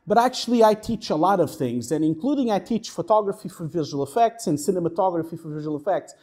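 High-voiced Portuguese man giving a talk. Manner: lively, self-mocking, conversational. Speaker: workshop speaker, VFX supervisor. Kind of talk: conference talk. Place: conference room.

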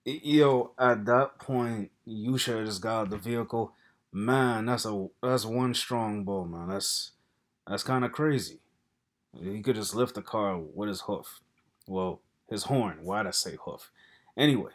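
A man's voice: fairly low pitch, a little slow. A man in his thirties chatting casually and colloquially to viewers as host of a reaction video. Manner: relaxed, colloquial address to viewers. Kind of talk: casual vlog commentary. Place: indoor room at a computer.

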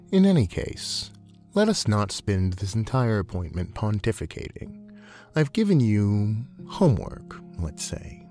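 A man voicing a genteel, soothing, playfully predatory snake-creature counselor in a measured, eloquent roleplay audio. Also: fairly low pitch, warm tone. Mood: playful, sly.

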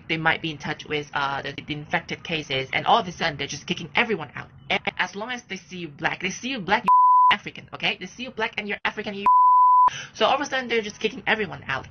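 A woman from China rattles off her account fast and heated, swearing freely. She comes through a lo-fi video call, loud noise under her voice. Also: on the low side. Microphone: phone or laptop on a video call.

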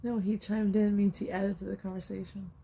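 A woman with a soft-edged, mellow voice at a middle pitch helps a young reader along. She offers short, instructive corrections in a casual way. The home recording catches her slightly off the mic, with faint noise behind her. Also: little pitch movement, fairly quick, creaky. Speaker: adult helper coaching a young reader. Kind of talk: casual prompting and correcting during a read-aloud.

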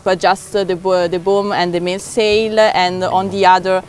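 A young woman, a boat dealer representative, explaining features in a halting, pausing way on a boat deck with a lapel mic. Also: lowish voice, flat delivery, a little hiss or hum. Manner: halting, with many uh pauses and non-native phrasing. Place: outdoors on a catamaran deck by the sea.

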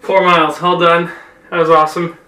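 A man's voice: a little high, flat delivery, a little fast, sharp tone, recorded from a distance, poor audio. A young adult man talking casually as a vlogger, recorded with noise around him. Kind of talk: casual vlog chat.

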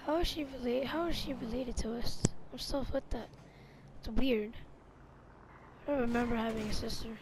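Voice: mid-range voice, fairly even intonation, moderate pace, background noise. A player chatting casually in improvised roleplay during a video game, over a home gaming voice chat.

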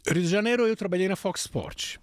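A man's voice: high voice, brisk, dry recording. A man in his fifties from Italy, speaking with an Italian accent, telling stories as a guest in a studio interview, gesturing as he talks into a desk microphone. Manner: animated, gestures widely, acts out quoted voices. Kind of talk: storytelling interview. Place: small studio room. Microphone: desk microphone on stand.

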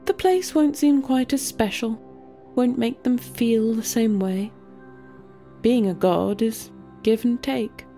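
Woman as grouchy story character, deadpan, muttered, dark mellow tone. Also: on the high side, slow pace, soft background music. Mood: dry, grouchy. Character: grouchy, dry companion of the guide.

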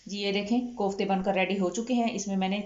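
A woman cooking-channel host explaining a home recipe step by step in a calm, unhurried way with frequent fillers. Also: mid-range voice, muffled recording. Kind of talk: recipe instruction over cooking footage. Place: home kitchen.

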